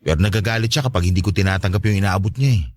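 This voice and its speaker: A man's voice: deep voice, very fast, darkish tone. A man playing a meek, accommodating, homely stepfather in a radio-style drama, polite, placating and defensive. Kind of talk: Tagalog radio-style love story drama.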